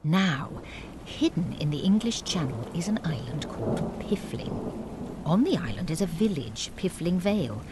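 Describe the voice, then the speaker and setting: A man's voice: on the high side, very animated, moderate pace. A man playing a wry, confiding narrator of a village chronicle in an audio drama, drily apologetic.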